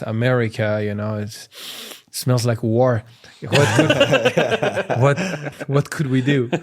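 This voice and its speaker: Chatty French-accented man. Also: medium pitch. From France. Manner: animated storyteller, acts out quotes. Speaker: comedian guest. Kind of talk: casual podcast chat.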